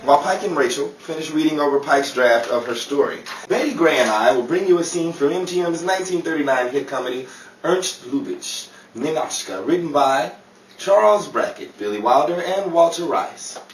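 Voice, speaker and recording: A man's voice: on the high side, expressive, moderate pace, close to an everyday voice. A man playing a jokey, theatrical emcee in a 1930s-style radio comedy show. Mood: announcing. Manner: playful, theatrical. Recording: roomy, distant-mic recording with clear noise.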